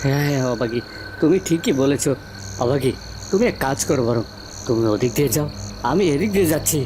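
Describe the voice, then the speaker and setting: A man's voice: mid-range voice, animated, a little fast, noisy background, close to an everyday voice. A man voicing an earnest young prince in a cartoon, hesitant and easily frightened. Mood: earnest, agreeing. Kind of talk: Bengali cartoon voice acting. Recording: faint music behind. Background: faint background music.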